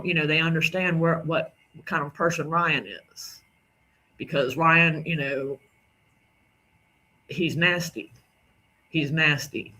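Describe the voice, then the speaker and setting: A man's voice: on the high side, bright, forceful tone, low-quality recording. A man from the Southern United States with a Southern drawl, rambling indignantly through casual commentary on clips and repeating himself. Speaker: commentary creator.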